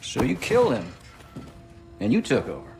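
Gravelly, menacing mercenary commander. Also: male voice, medium pitch, huge pitch swings, moderate pace, darkish tone, a little hiss or hum. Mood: probing. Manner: blunt, threatening. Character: menacing, hard-nosed male military contractor commander. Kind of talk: cinematic video game acting. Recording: dramatic score underneath.

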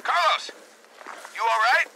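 An actor playing a practical, wry soldier and hacker in video game action dialogue. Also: male voice, very high-pitched, unhurried, gravelly, noisy background, heavily acted. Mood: urgent.

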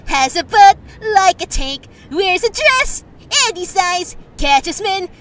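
Mocking heckler, extremely high, drawn-out sing-song taunts for a comic dub. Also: male voice, animated, a bit bright, full character voice. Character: shrill mocking singer of a teasing jingle.

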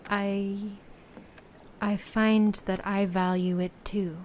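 A lone, self-doubting human raft dweller, played by a woman, in an audio drama. The speech is slow, halting and plain, in a mid-pitched voice. Faint noise sits behind it. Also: level tone, darkish tone, close to an everyday voice. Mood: guarded, tentative. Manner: halting, plain-spoken, unsure. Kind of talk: naturalistic audio play acting.

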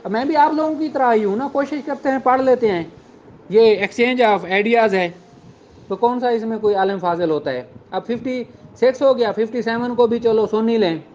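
A man with a Pakistani accent speaks in a high voice for a male teacher. He explains in an informal lecturing style and drops English terms into his sentences. The recording is somewhat dull and lo-fi. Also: moderate pace, a little mellow, slight background noise. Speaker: law teacher.

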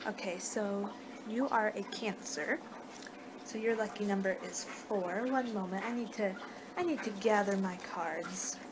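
A young woman speaks slowly in an improvised ASMR roleplay, at a medium pitch. She sounds distracted, often muttering to herself. The amateur recording from a small room at home has clear background noise. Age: young adult. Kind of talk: ASMR roleplay, improvised reading of fortune cards. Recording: noisy amateur webcam-style room recording.

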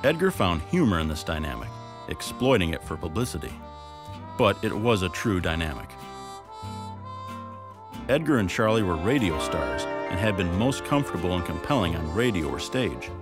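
A man giving measured, scripted documentary narration. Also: deep voice. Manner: even, measured reading. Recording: music bed behind.

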